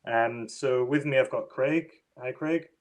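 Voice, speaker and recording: A man from northeast England with a Northeast English accent, hosting a casual podcast chat over a video call and filling pauses with ums. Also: mid-range voice. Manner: relaxed, many ums and restarts. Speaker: podcast host.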